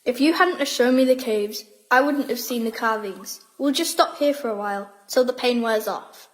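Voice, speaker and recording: A woman's voice: fairly high pitch, normal speed, lightly acted, rough recording. An actress playing a plucky, determined schoolgirl in an audio drama, speaking in a matter-of-fact, decisive way. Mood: brisk, defiant. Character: plucky, determined schoolgirl who dreams of sculpting.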